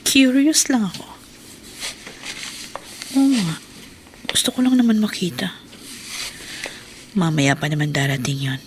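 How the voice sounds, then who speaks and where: A woman's voice: on the low side, sing-song, measured pace, pauses often. A woman with a Filipino accent playing a troubled, curious housewife in a radio drama, sighing and thinking aloud. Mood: musing, curious. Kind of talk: Filipino radio drama acting, musing monologue. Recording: noisy radio drama track.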